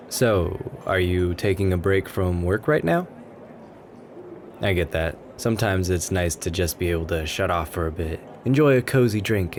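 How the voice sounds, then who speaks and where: A man plays a bashful, kind-hearted writer making nervous small talk in an ASMR roleplay. His voice is low and soft, with an earnest, rambling delivery. Noticeable coffee shop ambience sits behind him. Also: slight background noise. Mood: shy, friendly. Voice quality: gentle, soft-spoken. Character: shy, sweet, nervous writer chatting up a café stranger.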